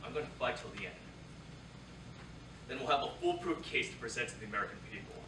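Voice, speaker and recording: A man's voice: mid-range voice, animated, quick pace, close to an everyday voice. A man playing a proud, bitter young Japanese American Second World War soldier in a staged reading, emphatic and declamatory. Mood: bitter, impassioned. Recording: roomy, distant theatre recording, faint noise.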